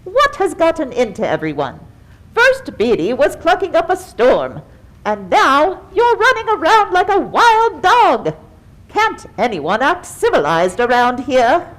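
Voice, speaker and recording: A woman's voice: high voice, wildly swooping pitch, measured pace, fairly bright tone, heavily acted, poor audio. A woman voicing a prim, haughty, easily exasperated pig in a children's puppet show, with fussy, grandiose wording. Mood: indignant.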